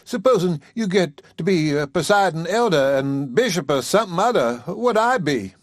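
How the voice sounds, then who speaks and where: Man doing a shy lady, high and fluttery, coy, very dry close mic. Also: animated, normal speed, no echo. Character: shy, modest woman cook being courted.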